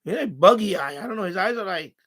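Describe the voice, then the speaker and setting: A man's voice: high voice, animated, fast, a bit bright. A man hosting a live stream in casual, rambling, unfiltered chat with his viewers. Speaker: livestream host.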